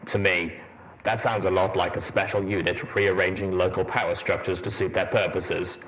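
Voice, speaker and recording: A man voicing a stern, suspicious armored soldier pony with a deep rumbling voice and a wry, deadpan manner in a story reading. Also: normal speed, poor audio. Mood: stern, skeptical.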